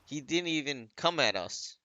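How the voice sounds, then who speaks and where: A man's voice: on the high side, expressive, moderate pace, sharp tone, highs cut off. A man giving casual, off-the-cuff game commentary, rambling and often second-guessing his own wording. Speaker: gaming video creator.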